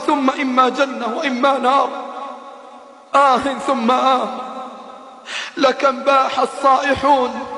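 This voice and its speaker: Male preacher, very high bright voice, lamenting and scolding in a long echoing hall reverb. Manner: lamenting, admonishing, repetitive rhetorical questions. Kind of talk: impassioned sermon.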